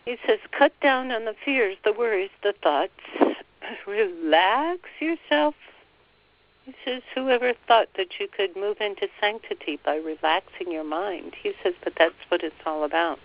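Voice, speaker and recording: A woman leading a slow guided meditation, softly passing on a spiritual guide's words phrase by phrase. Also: medium pitch. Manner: soft, relaying every phrase with "he says". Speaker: channeler relaying a spiritual guide. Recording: dull, dry lo-fi recording.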